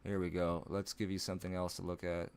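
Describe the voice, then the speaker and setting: A man chatting casually on a live stream from home, rambling and swearing, laughing at himself, with a low voice that breaks into odd higher notes. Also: flat delivery, a little fast, darkish tone, clear, resonant tone.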